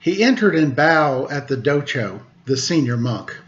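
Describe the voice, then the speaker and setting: A man's voice: fairly high pitch, very animated, a little slow, a little hiss or hum. Man reading stories aloud, dull lo-fi sound. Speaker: storytime host reading children's stories. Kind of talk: read-aloud storytelling with casual introduction. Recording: dull lo-fi recording.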